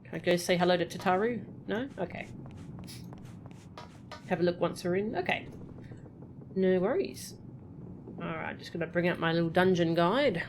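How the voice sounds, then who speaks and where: A woman streaming a game, with a slightly low voice and a casual, playful delivery. She chats and mutters as she plays, over faint background noise. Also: moderate pace, long pauses. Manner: chatty, playful, mutters to self and chat. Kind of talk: casual live gameplay commentary, reading out game dialogue.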